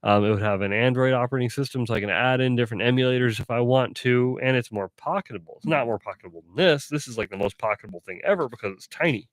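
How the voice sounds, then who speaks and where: A man chats to his live-stream viewers at an ordinary pitch, rambling along and correcting himself as he goes. His voice sounds stuffy and a little sore. The sound is dry and close, with no room echo. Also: quick pace, few pauses. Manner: rambling, self-correcting, chatty. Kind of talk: casual live-stream chat.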